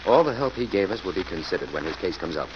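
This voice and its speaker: Genial detective, low voice, measured, explanatory, vintage radio drama, muffled recording. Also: male voice, animated, background noise. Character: assured, genial male detective hero. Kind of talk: 1940s radio acting.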